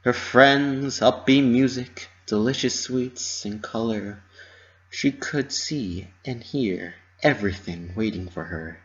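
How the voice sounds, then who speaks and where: A man's voice: medium pitch, takes it slowly, a bit bright, slight background noise. A man giving a dramatic scripted reading of a fan fiction story as its narrator. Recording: muffled lo-fi home recording.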